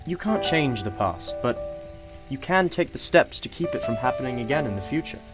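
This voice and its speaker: A man playing a kindly, wise and slightly mysterious shopkeeper in a radio play, speaking in a formal, measured, reassuring way. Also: mid-range voice, lively intonation, over music, close to an everyday voice. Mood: gentle, reassuring.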